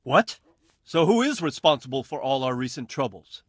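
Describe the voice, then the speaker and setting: Game noble: mid-pitched male, shrewd, resolute, dry recording. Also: very animated, normal speed, breathy edge, no room sound. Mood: questioning. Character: shrewd, resolute lord of a castle. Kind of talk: video game acting.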